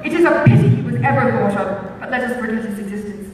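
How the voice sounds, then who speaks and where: A woman reading aloud, voicing an eager, flattering young gentleman who keeps pressing his suit, heard in an echoey hall from a distance. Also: mid-range voice, fast talker, slight background noise, voice pushed up, natural voice. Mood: insistent. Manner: eager, effusive, persistent gallantry. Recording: echoey hall, mic far off.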